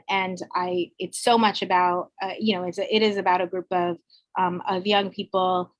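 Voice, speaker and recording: A woman from Bangladesh talks on a video call in a reflective, searching way, circling back and restarting her thoughts. Her voice sits a little low and the sound is close and dry, with no room around it. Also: fairly bright tone, dry recording. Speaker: school educator, co-host. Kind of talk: casual panel chat.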